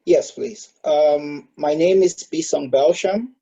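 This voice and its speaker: A man's voice: fairly high pitch, slow pace, gravelly, mellow, lo-fi sound. A man in his thirties from Cameroon, a guest in an online interview, speaking hesitantly with many filler sounds. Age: 30s. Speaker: guest, solar industry expert.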